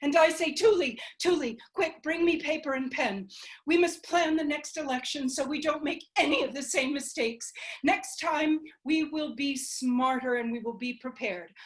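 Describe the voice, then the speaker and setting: A woman's voice: high-pitched, steady tone, normal speed, pitched above the performer's own voice, lightly acted. A woman playing a determined female suffragist leader, earnest and urgent in a one-woman stage play. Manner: earnest, urgent, planning aloud.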